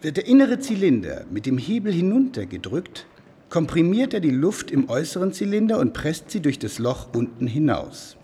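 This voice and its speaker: An actor playing a proud medieval inventor who explains things emphatically in a German radio play. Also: male voice, fairly high pitch, big pitch swings, moderate pace, mellow, slightly rough. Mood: proudly explaining. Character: proud male medieval inventor defending his machines.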